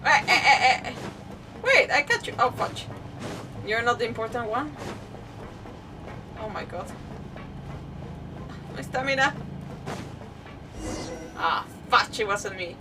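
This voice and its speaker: High-pitched, animated woman livestreaming a game. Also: slow pace, long pauses, recorded from a distance, background noise. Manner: playful, self-interrupting, muttering to herself. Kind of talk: casual gameplay commentary. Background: game music.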